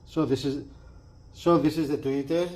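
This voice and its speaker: A man giving a casual, unscripted product walkthrough as a reviewer, with halting delivery and repeated phrases. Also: fairly high pitch, fairly even intonation, background noise.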